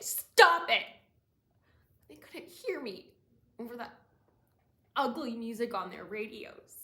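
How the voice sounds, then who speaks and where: A woman playing a shaken young woman haunted by cruelty she witnessed, in a halting, emotional dramatic stage monologue. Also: medium pitch. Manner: halting, hushed and breathy, swelling into anguished cries. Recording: roomy echo, faint background noise.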